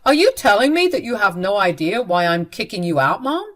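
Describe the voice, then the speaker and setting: A woman's voice: mid-range voice, big pitch swings, brisk, a bit bright. A female narrator plays an angry husband and son in a family drama story. He is stern and confrontational, firmly standing his ground with emphatic, raised delivery.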